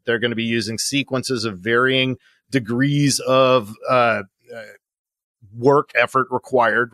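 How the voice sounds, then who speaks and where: A man's voice: mid-range voice, fairly bright tone, no echo, clean studio sound. A man giving explanatory interview answers as a guest consultant, methodically laying out points and checking in with "right?".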